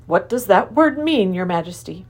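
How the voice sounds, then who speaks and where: Woman voicing a gentle, calm professor. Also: medium pitch, lightly acted. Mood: earnest. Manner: gentle, measured, earnest. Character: kindly, calm older male professor. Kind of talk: storybook reading.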